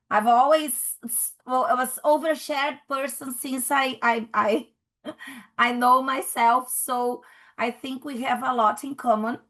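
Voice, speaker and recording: A middle-aged Brazilian woman, an anthropologist speaking English with a Brazilian Portuguese accent at a panel talk, halting often with restarts and fillers. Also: on the high side, a bit bright.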